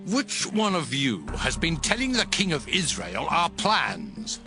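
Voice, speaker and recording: A man's voice: a little high, huge pitch swings, a little slow, full character voice. An actor playing a furious, suspicious king giving commands in a dramatized Bible reading. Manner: commanding, angry. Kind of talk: dramatized Bible audio acting. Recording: music behind the voice.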